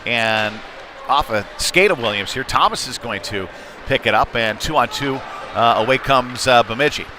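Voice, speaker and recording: A man's voice: mid-range voice, moderate pace, a crowd in the background. A man doing live play-by-play commentary of a youth hockey game in a conversational, somewhat hesitant way. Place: indoor ice hockey rink. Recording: noisy ice rink ambience. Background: clear rink noise and ambience.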